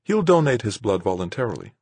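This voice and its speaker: A man voicing a defiant, self-assured woman crew member in an audiobook, with a firm, sharp way of talking. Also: on the low side, very animated, fast talker, slightly rough, no echo, pitched above the performer's own voice, full character voice. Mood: certain.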